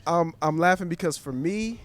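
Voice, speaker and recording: Thoughtful young man, faint music behind. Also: on the high side. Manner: thoughtful, measured, a little hesitant. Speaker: podcast host. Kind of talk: conversational interview.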